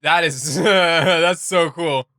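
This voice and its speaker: A man's voice: fairly high pitch, drawn-out words, pushed and bright, no echo, studio quality. A man hosting a reaction video, talking casually and enthusiastically with plenty of slang.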